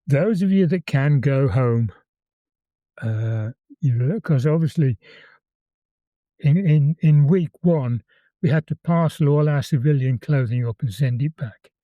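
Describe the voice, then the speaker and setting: A man in his eighties with a Birmingham accent, calmly recalling old times as an interview guest and often repeating words as he tells his story. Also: mid-range voice, a little slow, no echo, lo-fi sound. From England. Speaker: interview guest, former conscript. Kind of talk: reminiscing in conversation.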